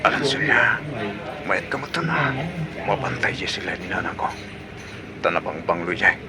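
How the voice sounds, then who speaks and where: An actor playing a pushy, excitable young son and boyfriend in an Ilocano radio comedy drama, speaking with an Ilocano accent. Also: male voice, low voice, moderate pace. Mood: coaxing. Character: pushy, excitable young adult son courting his girlfriend.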